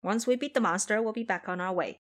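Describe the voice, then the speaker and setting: A woman voicing a bold, protective male monkey warrior with a brisk, commanding manner in an audio story. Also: mid-range voice, lightly acted. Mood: reassuring.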